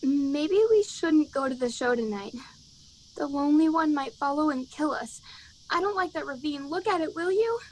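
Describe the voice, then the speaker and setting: A woman's voice: sits high, normal speed, a little mellow, natural voice, low-quality recording. A woman playing a fretful, easily frightened young woman in a radio play, speaking anxiously and dramatically. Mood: fearful foreboding. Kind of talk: 1940s radio play acting.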